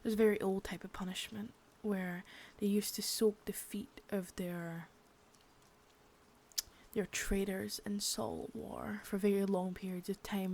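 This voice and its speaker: Woman playing a gravelly sadistic boss. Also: medium pitch. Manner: soft whispering, mixed with spoken threats; taunting and threatening. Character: sadistic, menacing female boss, cold and taunting. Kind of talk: ASMR roleplay.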